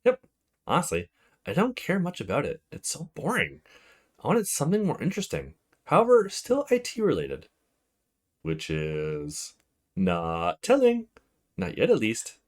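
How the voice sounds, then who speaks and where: A man's voice: a little high, huge pitch swings, unhurried, pauses often, voice pushed up, heavily acted. A man voicing a friendly, coy young student woman in a visual novel, with casual, teasing delivery.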